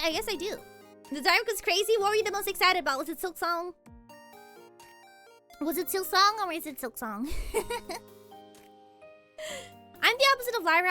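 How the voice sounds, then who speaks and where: Bubbly high-voiced young woman streamer. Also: pushed and bright. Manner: bubbly, gushing, frequent giggles and repeated words. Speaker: VTuber streamer. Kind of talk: casual live-stream chat, reacting and reading chat.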